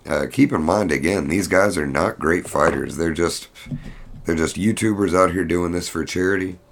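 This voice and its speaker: A man's voice: low-pitched, normal speed, gravelly. A man hosting a podcast, giving a casual fight recap in a blunt, rambling, profane manner. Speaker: podcast host.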